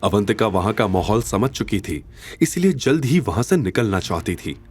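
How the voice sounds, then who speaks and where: Animated male audio drama narrator. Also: fairly low pitch, normal speed, breathy edge. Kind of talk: dramatic scripted Hindi story narration.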